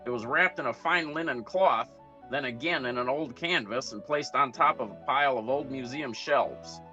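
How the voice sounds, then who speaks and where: A man's voice: medium pitch, normal speed, pushed and bright, lo-fi sound, faint music behind, close to an everyday voice. A man playing a hard-boiled 1940s private detective, narrating his case in a matter-of-fact, clipped radio noir style.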